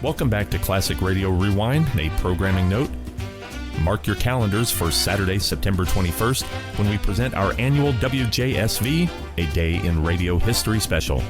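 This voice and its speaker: Low-voiced male radio host over swing music. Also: lively intonation, normal speed. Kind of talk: scripted announcing.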